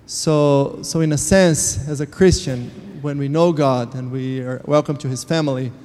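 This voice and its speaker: A man's voice: mid-range voice, steady tone, normal speed, a little mellow. Man in an echoing church. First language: Portuguese. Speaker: church member sharing a testimony. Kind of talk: informal church testimony. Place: church hall. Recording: long hall reverb.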